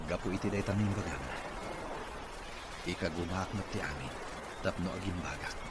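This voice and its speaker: Male actor as ailing boss, low voice, weary yet hopeful, radio drama, clear background noise. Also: normal speed, close to an everyday voice. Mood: earnest, hopeful. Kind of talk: Ilocano radio drama acting.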